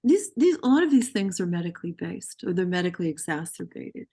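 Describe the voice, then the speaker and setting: A woman in her sixties, a veteran therapist, chats in a lively way and acts out exchanges with her patients. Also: on the low side, fairly quick, husky. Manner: animated storyteller acting out her patients' lines. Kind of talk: casual professional discussion.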